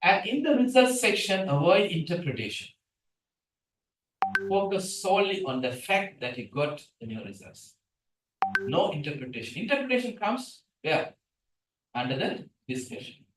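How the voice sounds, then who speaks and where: Man, native Tamil speaker, guest lecturer, animated, quizzes the room, fairly high voice, echoey room. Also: moderate pace, distant mic, a little hiss or hum. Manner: animated, asks the room questions. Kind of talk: lecture on scientific writing. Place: seminar room, hybrid session.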